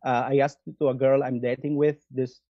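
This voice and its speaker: A man's voice: medium pitch, level tone, no echo. Man on a dull video call. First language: Italian. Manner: halting, many restarts. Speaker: guest. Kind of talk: conversational interview, reading a poem.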